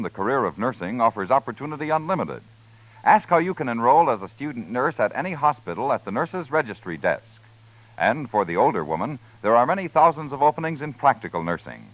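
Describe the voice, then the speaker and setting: A man reading a crisp, formal scripted radio announcement. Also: medium pitch, fairly bright tone, no echo. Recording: dry, lo-fi old radio broadcast.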